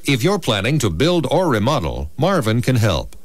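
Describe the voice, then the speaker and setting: A man's voice: medium pitch, wildly swooping pitch, moderate pace, no room sound. A man reading scripted radio commercial narration as an announcer.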